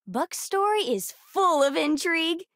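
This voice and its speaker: High, bright-eyed bookish young girl. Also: unhurried, no echo, clean studio sound, exaggerated voice. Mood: playful, bookish. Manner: earnest, precocious, expressive. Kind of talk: video game character voice lines.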